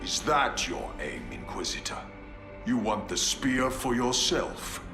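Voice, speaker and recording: A stern space marine commander. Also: male voice, fairly low pitch, very animated, slow pace, exaggerated voice. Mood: grave. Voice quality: formal, grave. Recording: echoey game audio with music.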